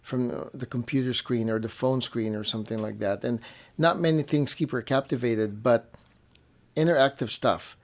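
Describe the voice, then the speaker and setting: Male parent co-host, medium pitch, conversational, repeats himself for emphasis, lots of "you know". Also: moderate pace. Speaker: parent co-hosting a family show. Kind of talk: casual conversational chat with partner.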